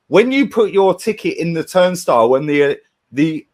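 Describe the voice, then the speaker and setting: A man's voice: on the high side, very animated, normal speed, a little mellow. A man, arguing heatedly about football and swearing as he talks over others. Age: 30s. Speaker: fan panelist. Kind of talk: heated argument.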